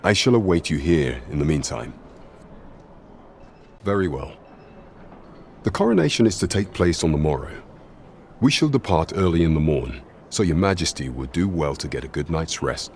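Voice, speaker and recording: Fantasy game royal advisor: very deep, grave, measured and courtly, archaic diction, slight ambient noise behind. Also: male voice, lively intonation, darkish tone. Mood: formal, courteous. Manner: formal, deferential, measured.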